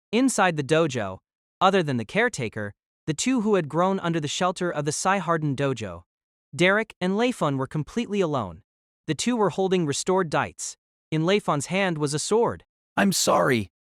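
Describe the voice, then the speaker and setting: A man narrating a fantasy novel for an audio drama, with a fairly high voice that rises and falls a lot. His reading is steady and measured, and the recording is close and dry with no room sound. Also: dry recording.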